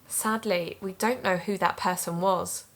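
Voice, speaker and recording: An actress playing an earnest woman amateur sleuth who hosts an investigative podcast, narrating calmly. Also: on the low side, unhurried. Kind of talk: audio drama narration and dialogue.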